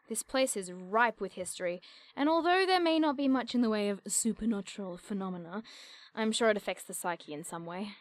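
A woman's voice: mid-range voice, natural voice. A woman playing an earnest, bookish young researcher of cryptids in an audio drama, speaking in precise, wordy phrases.

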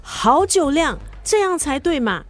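An actress playing a blunt, bossy, complaining drinking buddy in a radio drama, with a Singaporean accent. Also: female voice, high-pitched, very animated, unhurried, soft background music. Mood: brash, jovial. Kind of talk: Mandarin radio drama acting.

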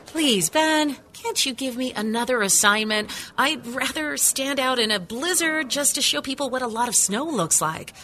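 A woman's voice: sits high, lively intonation, normal speed, few pauses, bright, forceful tone. A woman playing a burned-out, sarcastic TV news reporter in a scripted radio drama. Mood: pleading, exasperated. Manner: sarcastic, complaining.

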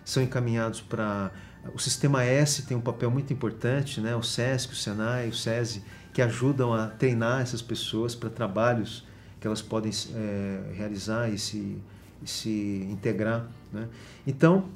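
Brazilian professor explaining at length. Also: male voice, lowish voice, steady tone, moderate pace, slight background noise. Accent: Brazilian Portuguese. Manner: explanatory, many fillers and restarts. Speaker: guest professor. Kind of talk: interview.

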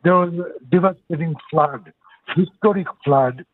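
A man's voice: on the high side, a little slow. A man in his sixties from Bangladesh with a Bangladeshi accent, a nonprofit director calling in to an interview, speaking earnestly with hesitant restarts. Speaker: nonprofit executive director, guest. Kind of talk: casual interview over the phone. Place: calling in remotely. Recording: tinny phone line.

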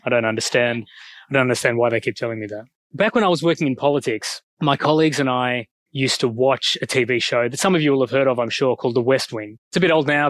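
Australian male speaker, middle-aged, headset mic, dry close sound, relaxed joking lecture delivery. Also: medium pitch, no echo. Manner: relaxed, self-deprecating humor. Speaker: guest speaker. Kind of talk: public lecture. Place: school hall.

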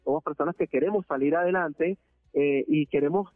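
A man from Venezuela, giving a halting, earnest testimony in a phone interview, full of filler sounds and restarts. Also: on the high side, no echo. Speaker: interviewee, deported migrant. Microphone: phone line. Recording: narrowband phone line, dry.

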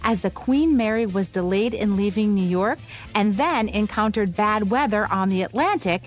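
An American woman co-hosting a podcast, narrating scripted radio history with polished, clearly phrased reading. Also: medium pitch, moderate pace. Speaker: podcast co-host.

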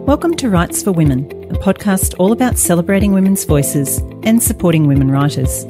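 An Australian woman reading a scripted podcast introduction in a measured, formal manner. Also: on the low side, expressive. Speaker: podcast host. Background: music.

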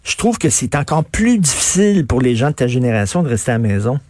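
A man's voice: mid-range voice, very animated, quick pace, darkish tone. A man in his fifties with a Quebec French accent, a radio interviewer asking questions in a conversational way with frequent stammered restarts. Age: 50s.

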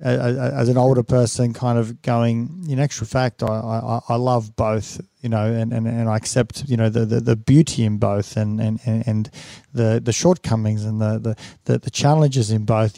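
A man's voice: mid-range voice, gravelly, warm tone, dry recording, clean studio sound. A man, talking reflectively in conversation, with many repeated words and restarts. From Australia.

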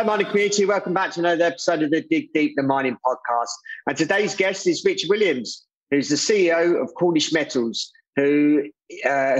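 A man hosting an interview podcast in an informal, somewhat halting, loosely worded way. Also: fairly high pitch, dull audio. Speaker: podcast host.

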